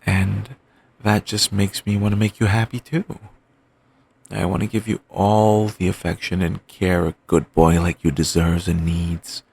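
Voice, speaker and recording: A man playing an obsessive, doting yandere boyfriend in an audio roleplay, talking softly and rambling on with endearments. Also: low voice, level tone, creaky, husky, full character voice. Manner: soft, murmuring, slow and rambling endearments.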